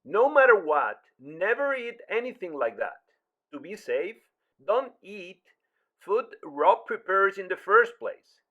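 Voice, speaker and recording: A man's voice: high-pitched, very animated, slow pace, exaggerated voice. An actor playing an urgent, guilt-ridden man giving terse warnings over a telephone in an audio drama. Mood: grave, regretful. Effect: telephone filter. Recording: thin, narrow phone-line sound.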